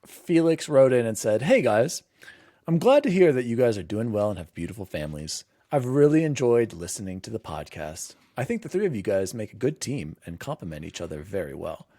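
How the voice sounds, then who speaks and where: A man hosting a casual gaming podcast, chatting with excitable, jokey emphasis. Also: on the low side, brisk, no echo, studio quality. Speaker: podcast host.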